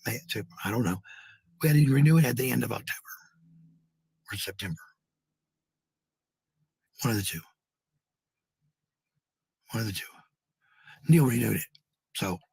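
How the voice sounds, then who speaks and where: Very husky, gravelly American man. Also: fairly low pitch, darkish tone, no echo. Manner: blunt, profane, repeats phrases. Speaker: live streamer. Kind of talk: rambling casual livestream talk to viewers.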